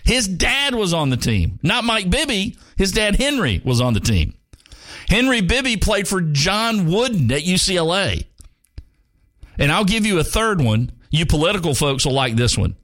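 Male sports radio host, 50s, lively sing-song delivery, chatty and jokey, dry close sound. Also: high voice, normal speed, no echo. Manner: rambling, jokey, many restarts. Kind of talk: casual sports talk monologue.

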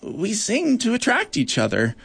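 A man voicing a small, shy and desperate bark beetle that speaks timidly and haltingly. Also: sits high, huge pitch swings, vocal fry, fairly bright tone, slightly rough, pitched above the performer's own voice, full character voice.

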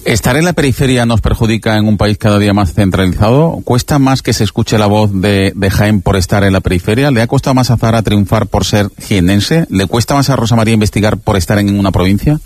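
A man hosting an interview, putting questions to guests in a crisp, formal way. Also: fairly low pitch, quick pace, hardly any pauses, darkish tone, no echo.

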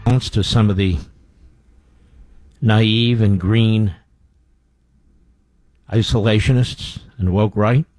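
A man's voice: on the low side, fairly even intonation, takes it slowly, long pauses, a little mellow, soft background music. A man hosting a talk radio show, giving blunt, scornful commentary with frequent fillers.

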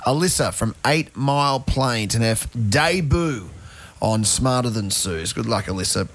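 A man's voice: mid-range voice, animated, moderate pace, a bit bright. A man hosting a radio quiz, chatty and playful in his banter with a caller.

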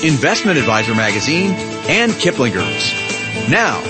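Lively male announcer over country guitar music. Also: medium pitch, unhurried. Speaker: show announcer. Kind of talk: scripted promotional intro narration.

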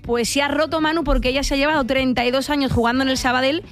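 A sports reporter delivering a news item, quick and earnest. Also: high voice, steady tone, fairly bright tone.

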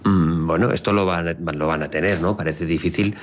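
This man has a deep voice and a first language of Catalan, and he talks with the easy back-and-forth of a radio host. He teases his co-host and then sets out the news point by point. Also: steady tone, moderate pace, slight background noise. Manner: chatty, teasing, lays out news point by point. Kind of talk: casual chat on a radio show.

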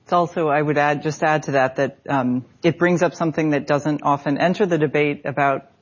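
A man speaking as a panelist in a measured discussion, with hesitant, wordy and formal phrasing. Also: fairly high pitch, fairly even intonation, highs cut off.

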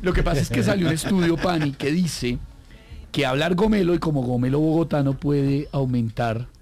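A man's voice: a little high, normal speed, darkish tone, faint music behind. A male radio host who teases guests and explains the topic in casual studio banter. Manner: teasing, prompting questions.

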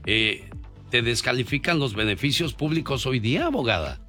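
A man's voice: medium pitch, expressive, moderate pace, pushed and bright, faint music behind. A man hosting a radio talk show, joking with his guest and reading out a phone number twice. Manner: jokey, then reads out a phone number twice. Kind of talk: Spanish-language call-in radio talk.

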